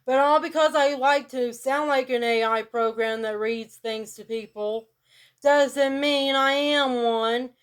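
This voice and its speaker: Flat-voiced country woman vlogger. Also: high-pitched, clear, resonant tone. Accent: rural American country. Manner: flat, deliberately robot-like delivery. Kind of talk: casual direct-to-camera monologue.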